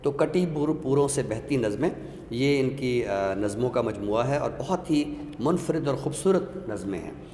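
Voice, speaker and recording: A Pakistani man co-hosting a literary talk, speaking in a scholarly, explanatory way with deliberate phrasing. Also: medium pitch, expressive, dark tone. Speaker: co-host discussing Urdu literature. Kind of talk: literary talk with poetry recitation. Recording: echoey hall, audible background noise.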